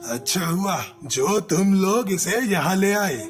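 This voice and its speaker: Animated male cartoon bird played by a woman. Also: medium pitch, slow pace, music in the background. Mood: stern, threatening. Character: male cartoon bird, worried husband or stern moneylender. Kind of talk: cartoon story dialogue.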